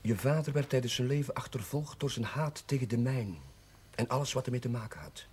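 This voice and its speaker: An actor playing a kindly, persuasive village chaplain in a 1970s television drama, speaking in a measured, earnest way. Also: male voice, mid-range voice, a little mellow, breathy edge. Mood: solemn, pleading. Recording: noisy 1970s television soundtrack.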